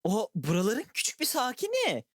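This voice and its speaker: A man voicing a playful, childish cartoon clone who is excitable and pleased with his silly schemes. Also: high voice, normal speed, a crowd in the background. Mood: proud, excited. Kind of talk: animated comedy dub in Turkish.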